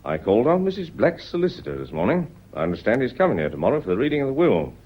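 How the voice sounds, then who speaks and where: An actor playing a shrewd, genial older police inspector in a radio drama, courteous, probing and drily understated, with a husky voice. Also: male voice, medium pitch, big pitch swings, very fast. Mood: shrewd, pointed. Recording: muffled old radio drama recording.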